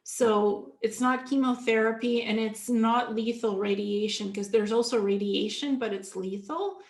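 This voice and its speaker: A woman's voice: on the high side, fairly even intonation, unhurried, echoey room. A woman from Ukraine, a guest in an interview, explaining at length in an earnest way, often restarting her sentences. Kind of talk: casual interview talk.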